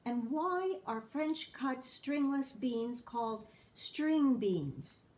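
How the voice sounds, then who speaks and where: A woman's voice: high-pitched, lively intonation, a little mellow, pitched above the performer's own voice, full character voice. A woman voicing a fussy little girl in a children's storybook, with a sing-song manner. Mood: curious, plaintive. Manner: breaks into slow, chanting little songs.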